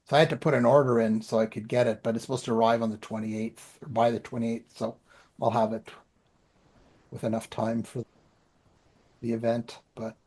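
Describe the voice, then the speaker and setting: A man's voice: medium pitch, level tone, normal speed, long pauses, darkish tone. A man with a Canadian accent, from the Ottawa area, chatting casually as a podcast co-host in a rambling, self-deprecating way. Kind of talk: casual chat, personal updates.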